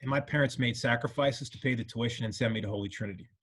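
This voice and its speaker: A man's voice: mid-range voice, fast, a bit bright, slightly rough. A middle-aged man from New York, an alumnus, speaking earnestly and reflectively in a rambling conversational way, full of "you know" fillers. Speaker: alumnus panelist.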